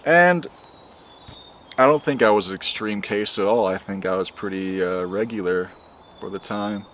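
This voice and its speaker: Deep-voiced man narrating, faint background noise. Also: a bit bright. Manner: measured, lecturing. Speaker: video essay narrator. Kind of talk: scripted commentary narration.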